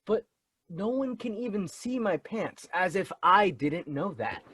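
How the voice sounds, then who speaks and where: A man's voice: sits high, expressive, measured pace, a bit bright, a little hiss or hum. An actor playing a hapless, scatterbrained male amateur performer in a farcical web comedy. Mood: rambling.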